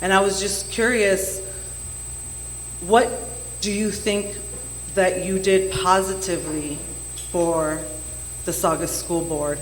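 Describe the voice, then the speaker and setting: A woman in the audience at a community meeting, asking a candidate a pointed question in a measured, hesitant way with frequent pauses. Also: lowish voice, fairly even intonation. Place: banquet room at a community meeting. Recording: echoey hall with faint hum.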